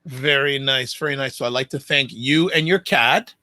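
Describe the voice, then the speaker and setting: A man's voice: medium pitch, moderate pace, pushed and bright, no room sound, studio quality. A man hosting an interview show, friendly and playful, often stumbling over his questions. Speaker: show host.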